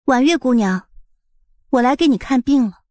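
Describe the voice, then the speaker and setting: A composed young physician wife in a period audio drama, played by a woman. Her fairly high voice is polite and measured with a sly edge, and it sounds close and dry. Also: animated, a little mellow, no echo. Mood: cool, polite.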